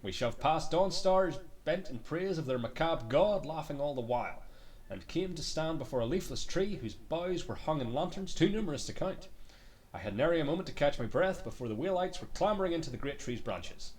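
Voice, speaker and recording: Animated, higher-pitched man reading aloud. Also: a little fast, recorded from a distance. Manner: theatrical storytelling with muttered, sweary asides. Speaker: game streamer reading aloud. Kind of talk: dramatic reading of in-game books.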